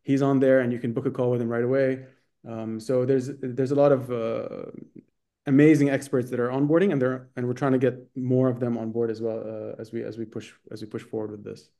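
Mellow-voiced man with international accent. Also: mid-range voice, little pitch movement. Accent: Dubai international, American-schooled. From Saudi Arabia. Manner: quick, fluent, with frequent fillers and restarts. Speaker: guest, startup accelerator executive. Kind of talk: casual interview answers. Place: bright room indoors. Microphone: video call webcam.

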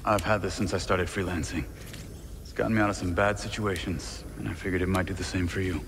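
An easygoing mercenary from a sci-fi game, with a low voice. He talks casually, like a practical friend. The sound is reverberant, with clear ambient noise behind him. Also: fairly even intonation, quick pace, fairly bright tone. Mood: friendly, persuasive. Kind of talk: cinematic video game acting.

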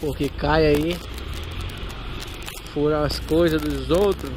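A man's voice: a little high, steady tone, slow. A Brazilian man casually narrating while riding a motorcycle through city traffic, chatty and slangy, recorded inside his closed helmet. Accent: Brazilian Portuguese. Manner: chatty, laid-back, slangy. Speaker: motovlogger, ride-hailing motorcycle driver. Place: motorcycle on busy city streets, outdoors. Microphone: helmet-mounted action camera, visor closed. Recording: loud road and engine noise. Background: loud engine and traffic noise.

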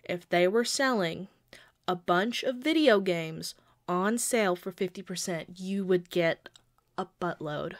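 A woman playing a quirky, sheepish young girlfriend who pleads and defends herself hesitantly in an intimate audio roleplay. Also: fairly low pitch. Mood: nervous, pleading. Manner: hesitant, pleading, halting with pauses. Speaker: amateur audio roleplay voice actor.

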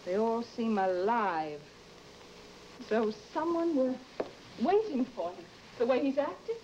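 High-voiced, anxious young wife. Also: female voice, lively intonation, slow, mellow. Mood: agitated, troubled. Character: anxious, suspicious young wife, newly married and imperious with servants. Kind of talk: old-time radio horror drama acting. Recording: dull old radio recording with hiss.

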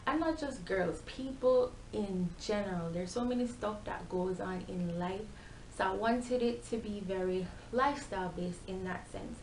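A woman's voice: medium pitch, normal speed, a little mellow. A young adult woman with a Jamaican accent, chatting casually and animatedly to camera as a vlogger. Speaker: YouTube vlogger. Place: small bare-walled room indoors. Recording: echoey room with background hiss.

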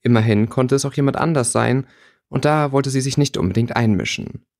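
Guy reading a fantasy story out loud, audiobook narration, mid pitch. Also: fairly quick, creaky, dry recording, clean studio sound. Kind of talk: scripted fiction narration.

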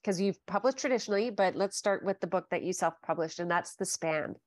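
A woman's voice: lowish voice, a little fast, no echo. A woman in her forties hosting a casual interview over a video call from her living room, chatty and gushing, with plenty of fillers. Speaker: podcast host.

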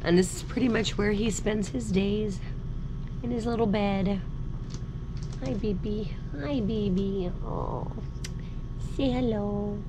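A woman with a slight cold and a raspy voice, talking casually to the camera on a boat and rambling with many fillers and restarts. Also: mid-range voice, slow, long pauses, background noise. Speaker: sailing vlog host. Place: on a boat in a marina.

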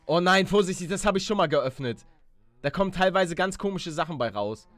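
A man hosting a Let's Play in lively casual banter, playful and excitable, often stammering and repeating words. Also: high-pitched, brisk, dry recording.

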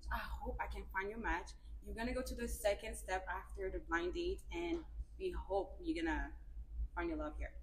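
A woman's voice: mid-range voice, fairly even intonation, normal speed, a little hiss or hum. A woman hosting a dating show, with playful, quirky phrasing. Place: bright studio with flower wall.

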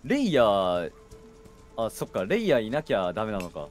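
Male streamer, mid-pitched with hugely swinging intonation, offhand gaming banter, light music behind. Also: huge pitch swings, moderate pace. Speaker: video game streamer. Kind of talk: casual co-op gaming chat. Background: video game music.

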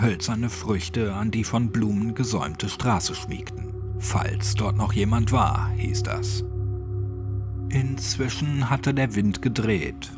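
Low-voiced man narrating horror over music. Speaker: audiobook narrator. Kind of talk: scripted horror story narration.

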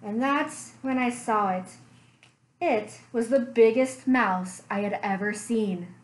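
A young woman reading a picture book aloud for children, slow and expressive with playful sound effects, recorded on a webcam in a small room at home. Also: fairly high pitch. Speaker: children's librarian. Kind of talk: storytime read-aloud.

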